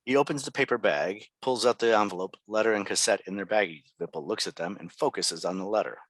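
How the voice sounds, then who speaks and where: Dry-recorded, lowish-voiced man reading directions. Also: fast talker, a bit bright, no echo. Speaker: stage directions reader. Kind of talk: scripted narration at a table read.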